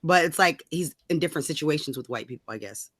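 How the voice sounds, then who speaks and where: Bright, blunt woman co-host. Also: low voice, lively intonation, quick pace, no echo, clean studio sound. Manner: blunt, colloquial, swears freely. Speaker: talk show co-host. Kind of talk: casual opinionated chat.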